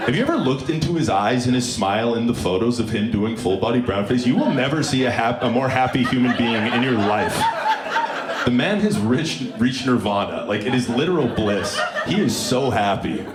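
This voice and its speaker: A young man with a deep voice works a live comedy stage, mocking himself with deadpan asides. His pitch leaps and dips as he lands each bit. The room is echoey and loud laughter keeps breaking over him. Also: normal speed, noisy background. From Alberta, Canada. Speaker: stand-up comedian. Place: comedy club stage before a live audience. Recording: roomy live club with loud crowd laughter.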